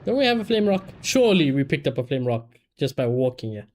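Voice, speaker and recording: A man's voice: mid-range voice, very animated, fast talker, a little mellow, a little hiss or hum. A man streaming a video game live, chatting casually and giving blunt opinions with plenty of swearing. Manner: sweary, opinionated, repeats phrases. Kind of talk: casual live gaming commentary and chat. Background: game music.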